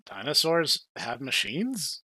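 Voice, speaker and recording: A man with a high voice banters and reads parts on a comedy podcast. His intonation is very animated and the sound is dry and close. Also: takes it slowly, slightly rough, no echo. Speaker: podcast co-host and reader. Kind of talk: playful banter and comic character reading.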